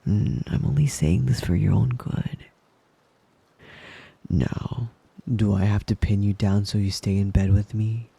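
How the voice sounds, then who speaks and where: A man playing a possessive, doting young wolf-boy lover in intimate ASMR roleplay, coaxing and teasing in a breathy, husky voice that often drops to a whisper. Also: on the low side, warm tone, no room sound, exaggerated voice. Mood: coaxing, firm. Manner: slow, coaxing, teasing endearments.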